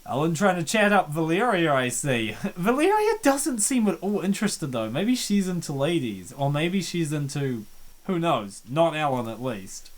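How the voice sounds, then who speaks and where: Dry jokey commentator reading through a game, lively mid voice. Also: mid-range voice, normal speed. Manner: wry, joking asides. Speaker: playthrough co-host.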